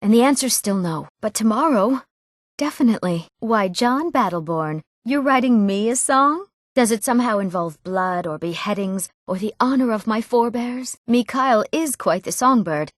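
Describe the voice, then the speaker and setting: A woman's voice: on the high side, expressive, normal speed, dry recording, studio quality. A young woman voicing an eager, proud young Nord townswoman in scripted fantasy game dialogue, with an earnest delivery. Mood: teasing, affectionate. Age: young adult. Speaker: video game voice actor.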